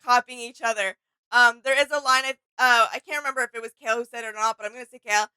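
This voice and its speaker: A woman's voice: fairly high pitch, fairly even intonation, rapid-fire, pushed and bright, clean tone, dry recording, low-quality recording. A woman co-hosting a reaction video in casual chat, agreeable and informal as she thinks aloud. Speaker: co-host of a reaction video channel. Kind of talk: casual chatty reaction commentary.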